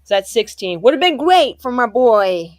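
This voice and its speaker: A woman's voice: fairly high pitch, sing-song, a little slow, no echo, studio quality. A woman playing a tabletop game, chatting casually in a blunt, sardonic, sweary way.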